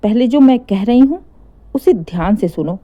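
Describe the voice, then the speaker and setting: A woman's voice: on the high side, lively intonation, moderate pace, dark tone, natural voice. A woman voicing a firm, protective older mother advising her married daughter in a family story. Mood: firm, concerned.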